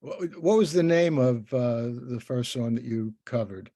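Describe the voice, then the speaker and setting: Older male interviewer, mid-pitched, soft mellow tone, dry close sound, effusive, rambling, conversational. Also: unhurried, no echo. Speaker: interviewer and host, professor.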